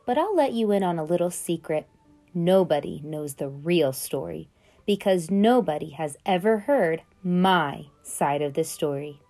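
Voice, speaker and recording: A woman voicing a sly, smooth-talking male wolf who tells his side of the story in a chatty, conspiratorial way, read aloud from a children's picture book. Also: lowish voice, lively intonation, measured pace, mellow, soft background music.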